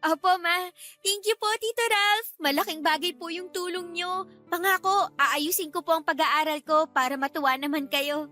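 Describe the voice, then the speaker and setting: Radio drama role: studious teenage niece, very high voice, deferential, earnest, faint music underneath. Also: female voice, moderate pace, bright, forceful tone. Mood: earnest, grateful. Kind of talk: Filipino radio drama acting.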